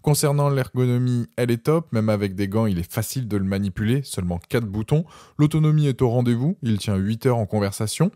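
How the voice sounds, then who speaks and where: A man's voice: mid-range voice, brisk, warm tone, no room sound, studio quality. A man presenting a scripted product review voice-over, informally addressing viewers directly.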